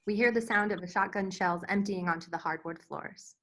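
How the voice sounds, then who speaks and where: A woman's voice: mid-range voice, a little fast, a bit bright. A woman giving scripted narration, reading out scene headings and action at a screenplay table read. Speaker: narrator reading stage directions.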